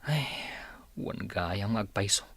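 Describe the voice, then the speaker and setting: A man's voice: on the low side, expressive, slow, gravelly, fairly bright tone, husky, no echo, heavily acted. A man playing an easygoing, stubbornly hopeful young husband in a radio drama, talking casually. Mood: coaxing.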